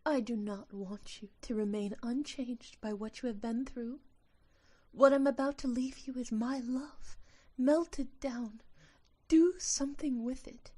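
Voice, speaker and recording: Woman as high, soft, gentle dying sylph. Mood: loving, solemn. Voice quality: smooth, soft. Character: gentle, dying magical female sylph, serenely loving. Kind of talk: audio drama acting.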